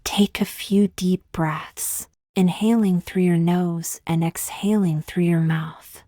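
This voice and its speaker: A woman gently narrating a guided meditation in a soft, breathy, soothing voice, slowly and with long pauses. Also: fairly low pitch, steady tone, no room sound, studio quality.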